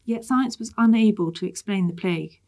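A woman reads a scripted essay in a measured, articulate way. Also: mid-range voice, a little mellow. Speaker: article reader. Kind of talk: scripted narration, read essay.

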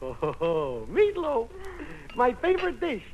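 An actor playing a breezy, sweet-talking, slangy boyfriend in a 1940s radio sitcom. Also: male voice, very high-pitched, huge pitch swings, slow pace, muffled recording, heavily acted. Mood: breezy, fond. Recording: faintly noisy old radio recording.

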